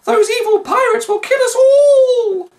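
A man voicing a hysterical, self-important woman captive, wailing and pleading in a shrill falsetto. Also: measured pace. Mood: panicked wailing.

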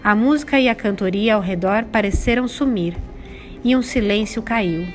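A woman's voice: mid-range voice, normal speed, soft background music. A woman with a Brazilian accent giving a scripted storybook narration.

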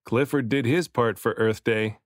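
A man reading a children's picture book aloud as an expressive storybook narrator, varying his delivery for emphasis. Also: mid-range voice, moderate pace, no echo, studio quality. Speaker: children's book reader, dad and voice actor.

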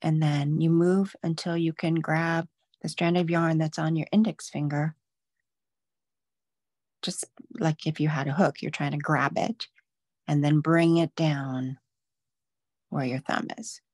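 A woman's voice: deep voice, steady tone, unhurried, long pauses, a little mellow, no echo, clean studio sound. A woman patiently walking viewers step by step through knitting stitches in a live online demo. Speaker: knitting instructor.

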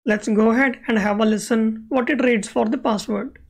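High-voiced woman giving a coding tutorial. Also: steady tone, moderate pace. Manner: instructional, explanatory. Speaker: web accessibility instructor. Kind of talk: step-by-step screencast coding tutorial.

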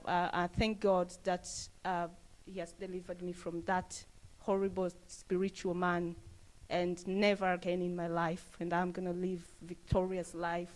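A woman, giving an earnest, halting testimony into a handheld mic indoors. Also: fairly low pitch, low-quality recording. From Tanzania. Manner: earnest, halting with fillers and restarts. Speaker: church member giving testimony. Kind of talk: on-camera testimony interview. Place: indoors, plain room. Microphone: handheld interview mic held up to her.

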